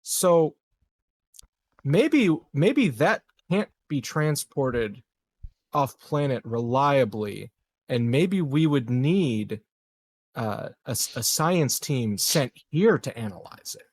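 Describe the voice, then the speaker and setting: A man's voice: medium pitch, lively intonation, takes it slowly. A man brainstorming casually in a tabletop roleplaying game, tentatively feeling out his ideas as he talks.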